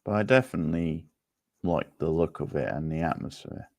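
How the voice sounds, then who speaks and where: A man with a low, creaky voice hosts a quiz in a casual, conversational way. The sound is dry and close, with a gravelly edge to his relaxed chat. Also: moderate pace, no echo. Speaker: quiz host.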